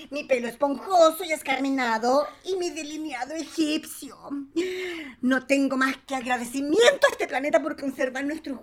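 A woman's voice: high-pitched, a bit bright, voice pushed up. A woman voicing a haughty, ancient alien drag queen commander in a comic radio play, pompous and theatrical.

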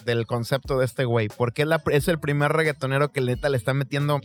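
Fast-talking, slangy Mexican man. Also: medium pitch, fairly even intonation, soft background music. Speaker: podcast co-host. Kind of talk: casual chat.

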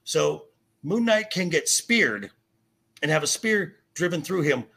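A man with a raspy voice in a heated pop culture discussion, insistent and halting, often repeating himself. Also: a little high, expressive, bright, forceful tone. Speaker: podcast guest. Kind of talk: heated opinionated film and TV discussion.

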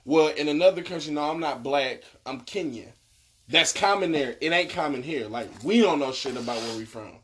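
A man's voice: fairly high pitch, a little fast, fairly bright tone. Laughing, profane podcast co-host man. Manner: loose, profane, laughing a lot. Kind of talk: casual group chat.

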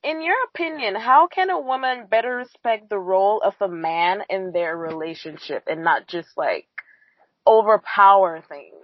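A woman hosts a relaxed podcast chat, asking questions and throwing in teasing, laughing asides. Her voice sounds close and dry on a dull, lo-fi recording. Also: medium pitch, moderate pace, no echo. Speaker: podcast co-host.

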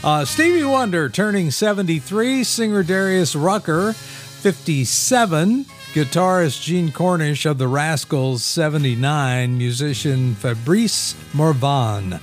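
A man's voice: on the high side, animated, unhurried, soft background music. An older man with an American accent, co-hosting in casual, radio-style banter. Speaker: podcast co-host and former radio DJ.

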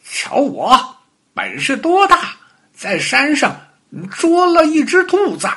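A man's voice: extremely high pitch, huge pitch swings, slow, pushed and bright, pitched above the performer's own voice, exaggerated voice. A man voicing a cocky, bragging little dog in a children's fairy tale. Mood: boastful. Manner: swaggering, bragging.

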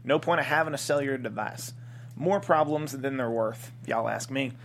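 A man's voice: mid-range voice, moderate pace, creaky, a bit bright, slight background noise. A man playing a dry, sarcastic small-town desert lawman in a comedy script table read. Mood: dry scorn.

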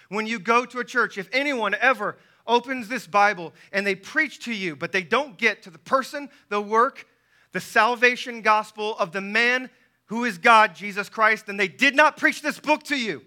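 A male pastor delivers an impassioned sermon in a high, extremely bright and forceful voice. He yells his points emphatically, breaking them into deliberate phrases and repeating them for weight. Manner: deliberate phrasing with rhetorical repetition. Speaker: pastor preaching to a church.

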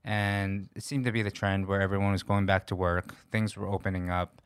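A man's voice: sits low, little pitch movement, fairly quick, clean tone, dry recording, clean studio sound. A man hosting a podcast in casual conversation. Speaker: podcast host. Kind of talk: casual chat.

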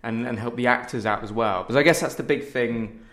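A man's voice: mid-range voice, fairly quick, a bit bright. A man talking casually and thoughtfully as an interviewed theatre director, often hedging as he goes. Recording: roomy, echoey sound.

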